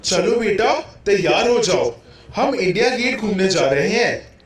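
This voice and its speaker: A man's voice: high voice, lively intonation, a little slow. A man playing a lazy, dismissive husband and father in a puppet show, theatrical and bossy. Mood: casual. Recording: very echoey hall, distant mic.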